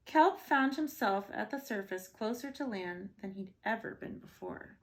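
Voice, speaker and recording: A woman's voice: mid-range voice, animated, moderate pace, poor audio. A woman reading a children's picture book aloud as a bedtime story, expressively and with short asides. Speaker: storybook reader.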